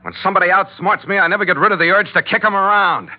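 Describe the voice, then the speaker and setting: An actor playing a wry, tough sea captain who narrates and stars in an old-time radio adventure with sardonic, terse delivery. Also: male voice, high-pitched, racing. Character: wry, tough, hard-boiled male sea captain hero. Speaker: narrating lead of an adventure serial. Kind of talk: 1940s-style radio drama acting and narration. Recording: tinny vintage radio recording with music.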